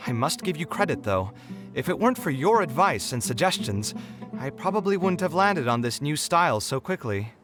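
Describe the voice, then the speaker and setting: An earnest young architect in a video game, laying out his plans in a brisk, businesslike way. His pitch moves a lot as he talks, and game music plays underneath. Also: sing-song. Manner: brisk, businesslike planning talk. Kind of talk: game voice acting.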